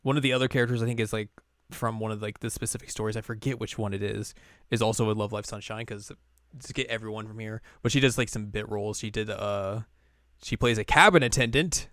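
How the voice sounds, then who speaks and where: A man with a fairly low voice co-hosts a casual podcast, rambling through his thoughts with plenty of fillers and laughs. The sound is dry and close. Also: steady tone, fast, fairly bright tone, dry recording. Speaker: podcast co-host. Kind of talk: casual chat.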